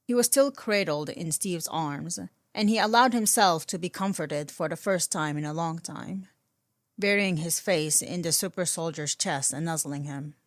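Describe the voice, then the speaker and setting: Woman reading fanfic aloud, kinda low voice, dramatic narration, really dry sound. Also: normal speed, no room sound. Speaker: fan fiction audiobook reader. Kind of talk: dramatic scripted story narration.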